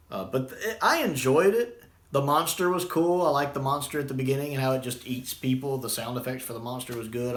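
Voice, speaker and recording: A man's voice: mid-range voice, fairly bright tone. A man giving a casual, unscripted review in a rambling, conversational way. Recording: echoey room sound.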